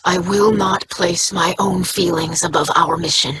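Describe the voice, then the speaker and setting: A woman's voice: lowish voice, steady tone, measured pace, fairly bright tone, breathy edge, dry recording, exaggerated voice. An actress playing an earnest, sincere young alien woman in a video game.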